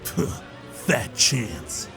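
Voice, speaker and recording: An arrogant male goblin trade prince in a fan audio drama. His voice is heavily creaky and sharp, swinging theatrically in pitch. He blusters and insults with greedy self-importance. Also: very animated, extremely slow, faint music behind, exaggerated voice. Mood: defiant scorn. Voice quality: gravelly, sharp.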